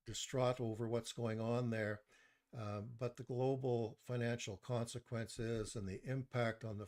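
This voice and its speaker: Soft-spoken man presenting a market review. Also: mid-range voice. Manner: measured, with frequent "uh" and restarts. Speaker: presenter of a stock market webinar. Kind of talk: live online presentation, reading chat comments. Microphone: desktop mic.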